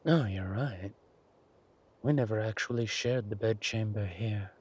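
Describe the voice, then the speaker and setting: An actor playing a smug, menacing villain king in an intimate audio roleplay, speaking deliberately and theatrically to a beloved consort. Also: fairly low pitch, darkish tone. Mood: gloating, fond. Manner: slow, deliberate, theatrical, breathy asides.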